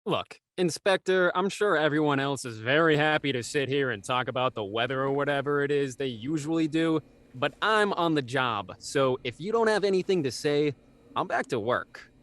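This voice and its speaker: An actor playing a blunt, impatient working-class gardener in a radio mystery. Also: male voice, fairly high pitch, lively intonation, moderate pace, a bit bright. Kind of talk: radio drama acting.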